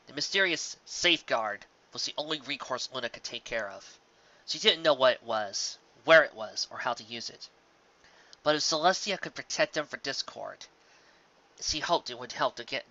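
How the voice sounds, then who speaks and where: Male story narrator, bright forceful tone, dramatic scripted reading, dull lo-fi microphone. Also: medium pitch.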